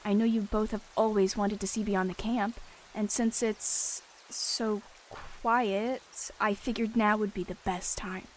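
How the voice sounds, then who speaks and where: A woman voicing an angry, scheming male warrior cat deputy, often in a hushed, urgent tone. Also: medium pitch, fairly even intonation, a little slow, a little hiss or hum, natural voice, rough recording.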